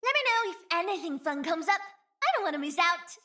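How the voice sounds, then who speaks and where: A woman's voice: very high-pitched, animated, a little fast, pushed and bright, heavily acted. A woman voicing a playful, greedy young female chief in a game, cheeky and teasing. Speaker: voice actor. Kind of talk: game character voice lines.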